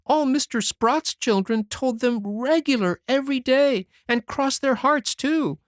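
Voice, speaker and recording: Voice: big pitch swings, normal speed, dry recording, clean studio sound, close to an everyday voice. A reader voicing a mischievous six-year-old boy who pleads and questions eagerly, in an audiobook. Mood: sobbing pleas. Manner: high, eager childish pleading. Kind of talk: audiobook character voice.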